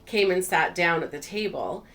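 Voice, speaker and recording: A woman vlog host telling personal stories in a casual, animated way, acting out bits of dialogue as she goes. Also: lowish voice, moderate pace, slight background noise.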